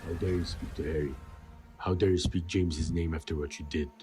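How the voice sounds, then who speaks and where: A man's voice: sits low, fairly even intonation, fairly quick, mellow, a little hiss or hum, lightly acted. A man playing a vengeful, bitter wizard wronged by a traitor in an audio drama. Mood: outraged, bitter.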